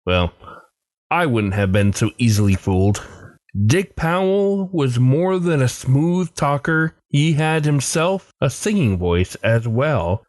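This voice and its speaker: A man's voice: mid-range voice, darkish tone, no echo. A man hosting a podcast and reading scripted narration. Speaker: podcast host. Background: faint music.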